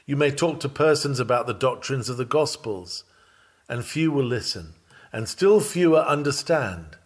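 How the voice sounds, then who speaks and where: Guy reading out an old style sermon, solemn and measured, kinda declamatory, mid pitched voice. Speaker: narrator reading a written sermon.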